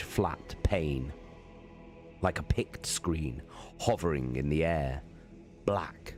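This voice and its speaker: A man giving a dramatic, theatrical audiobook narration in a measured way. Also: low voice. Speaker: narrator, live reader.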